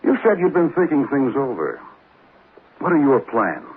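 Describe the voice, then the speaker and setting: An actor playing a shady, needy and suspicious boyfriend in a 1940s radio drama, talking in slangy, insistent lines. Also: male voice, medium pitch, very animated, moderate pace. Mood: guarded, serious. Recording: noisy narrow-band old radio recording.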